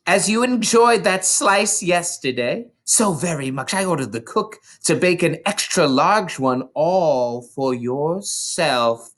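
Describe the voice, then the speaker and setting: A storybook reader voicing a booming, menacing bully of a headmistress, a woman who turns falsely sweet and crafty while commanding. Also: lively intonation, takes it slowly, few pauses, exaggerated voice. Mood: falsely sweet, persuasive. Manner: booming, commanding, mock-gentle wheedling.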